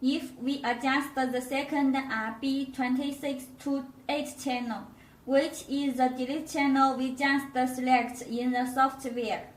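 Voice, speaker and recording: High-voiced woman demonstrating in an echoey room. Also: little pitch movement. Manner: halting non-native phrasing. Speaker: product demonstrator. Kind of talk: step-by-step product tutorial. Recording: roomy echo, distant microphone.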